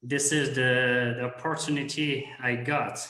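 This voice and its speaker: A man's voice: mid-range voice, level tone, measured pace, sharp tone, roomy sound. A man, speaking in a hesitant way with many fillers as a guest on a video call. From Morocco. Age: 30s. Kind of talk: casual video call chat.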